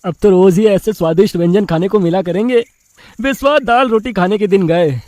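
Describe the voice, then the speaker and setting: A man acting a high-voiced teasing young son. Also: a little fast, a little mellow, no echo, natural voice. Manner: teasing, playful. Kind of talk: Hindi cartoon story voice acting.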